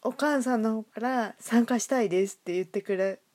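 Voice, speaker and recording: A woman's voice: a little high, fairly quick, a little mellow. A woman hosting a casual chat with a guest, giggly and self-deprecating in the way she talks. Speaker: host and writer of an audio drama show.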